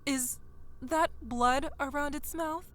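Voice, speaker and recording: An actress plays an anxious yet sensible young woman in a horror audio story. Her voice is fairly high and bright, with a wry touch. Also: fairly even intonation, slow. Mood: worried, hesitant. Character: Samantha, uneasy but sensible young woman. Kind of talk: audio horror drama acting.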